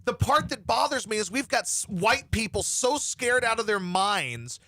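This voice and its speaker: Male talk host, bright and forceful, blunt and profane opinions, dry studio mic. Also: high voice, lively intonation, normal speed, no echo. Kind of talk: opinionated show banter.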